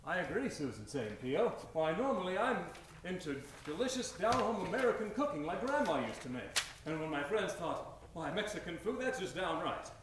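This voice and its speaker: A man's voice: sits high, very animated, normal speed, distant mic. A man playing an earnest, hearty, folksy wartime endorser in a parody 1940s radio advertisement. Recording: noisy, echoey live stage.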